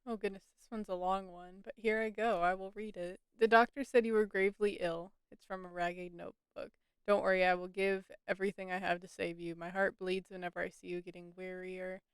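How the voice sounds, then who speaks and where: A woman's voice: fairly low pitch, level tone, fairly quick, few pauses, clear, resonant tone, no room sound. A woman game streamer giving casual, wry commentary and reading text aloud.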